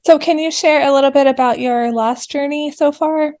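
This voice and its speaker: A woman's voice: high voice, steady tone, normal speed, darkish tone. A woman hosting a podcast, chatting in a friendly, casual way and asking her guest questions. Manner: friendly, chatty, laughs easily. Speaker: podcast host. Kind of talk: casual interview chat.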